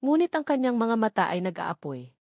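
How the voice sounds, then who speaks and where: A woman with a Filipino accent narrating a dramatic scripted story in a measured storytelling manner. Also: fairly high pitch, sing-song, darkish tone, dry recording, clean studio sound. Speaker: audiobook narrator.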